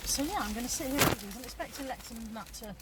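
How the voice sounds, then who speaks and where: A woman with a gravelly voice, tired and hot, chatting casually to camera for her vlog. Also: on the high side, fast talker, very noisy. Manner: chatty, self-deprecating, rambling. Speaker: vlogger. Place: parked car.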